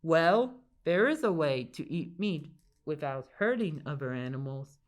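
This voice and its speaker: A woman's voice: deep voice, animated, unhurried. A woman voicing a big grumpy but kind male grizzly bear in a storybook, with a growly, scolding manner. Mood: kindly, explaining. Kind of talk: storybook character voice.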